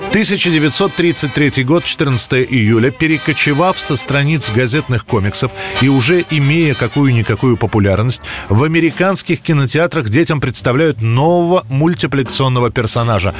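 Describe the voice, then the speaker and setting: Male narrator for history stories: mid-pitched, conversational yet dramatic, clear music bed. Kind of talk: scripted storytelling narration.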